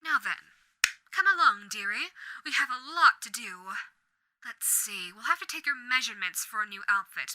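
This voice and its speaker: Woman doing a sly female demon overlord, silky coaxing, whispered asides, bright piercing tone, dry close sound. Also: a little high, dry recording, low-quality recording. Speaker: voice actor. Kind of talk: audio roleplay acting.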